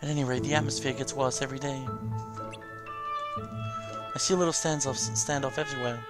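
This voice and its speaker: A man's voice: medium pitch, flat delivery, a little fast, over loud music, lightly acted. A man voicing worried young fantasy game heroes, reading their lines aloud with an earnest, anxious manner. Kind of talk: amateur read-aloud of game dialogue.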